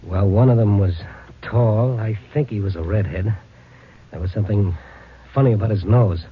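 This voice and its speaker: A man's voice: fairly low pitch, normal speed, slightly rough. An actor playing a wounded, weakened patrolman, stoic and plain-spoken, in a 1950s radio crime drama. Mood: weary, stoic. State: weak from a wound. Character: wounded male patrolman, weary but stoic. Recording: muffled, noisy old radio recording.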